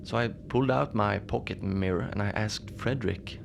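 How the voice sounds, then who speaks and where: A man playing a mild, lonely Antarctic station manager in a cozy horror audio drama, speaking in a hesitant, understated deadpan as he logs his shift. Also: lowish voice, moderate pace, gravelly. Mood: musing, wistful. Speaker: narrator of a fiction podcast. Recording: noisy ambient bed behind the voice.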